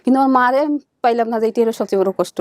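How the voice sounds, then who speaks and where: Female guest, Bangladeshi accent, slightly high voice, very rapid but halting personal storytelling, dry close recording. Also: darkish tone, no room sound. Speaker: guest searching for birth family. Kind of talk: emotional personal recounting in conversation.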